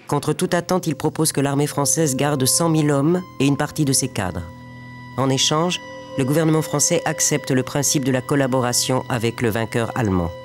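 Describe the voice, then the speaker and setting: A man in the middle pitch range narrates a history documentary in a measured, solemn manner. His delivery sounds scripted and steady. Music plays underneath him.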